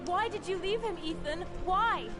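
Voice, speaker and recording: High-voiced, anguished mother. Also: normal speed. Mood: accusing, anguished. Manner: reproachful, emotional. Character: anguished, accusing mother of a missing boy. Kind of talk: video game drama acting. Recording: game music behind dialogue.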